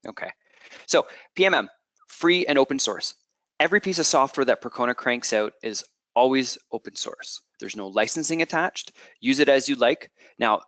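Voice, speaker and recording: A Canadian man in his thirties gives a product presentation as a product manager. He explains at length, often restarting sentences and pausing to ask "okay". His mid-pitched voice sits close to the mic in a dry, dead space. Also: fairly bright tone, dry recording. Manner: explanatory, frequent fillers and restarts, checks in with okay.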